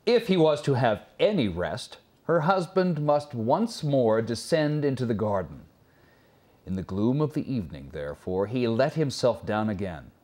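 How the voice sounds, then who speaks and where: A man reading a fairy tale as a storyteller, in a dramatic, expressive scripted narration with pauses. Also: mid-range voice.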